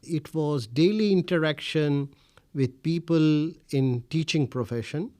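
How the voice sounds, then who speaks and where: Scholar from India, Urdu first language, middle-aged, speaks slowly and formally with many "uh" pauses; dry close sound. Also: male voice, fairly high pitch, mellow, no room sound. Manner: measured, frequent "uh" pauses, formal phrasing. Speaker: interviewee, scholar. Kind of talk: reflective interview answers.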